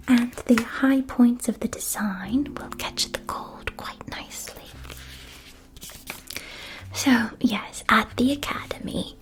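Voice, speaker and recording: A woman playing a gracious, gushing shopkeeper of witch school supplies in an ASMR roleplay, speaking in a soft, breathy whisper with genteel, formal phrasing. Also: medium pitch, lightly acted. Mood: gracious, helpful. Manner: genteel, unhurried, formal old-fashioned wording.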